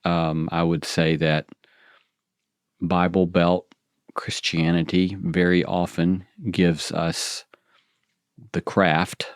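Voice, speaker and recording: A middle-aged man with a very low voice speaks slowly on a podcast. He hesitates and pauses often as he thinks his answers through. The recording is close and dry. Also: little pitch movement, clean tone, no echo. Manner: slow, hesitant, many "uh" and "um" pauses. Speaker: theology teacher, podcast co-host. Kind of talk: conversational podcast chat.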